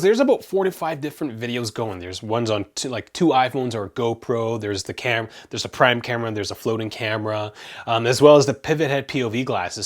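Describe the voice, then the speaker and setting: A young man from Canada, hosting his own tech review channel, talking casually to camera with lots of fillers and self-corrections. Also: mid-range voice. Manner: chatty, rambling, frequent self-corrections and fillers.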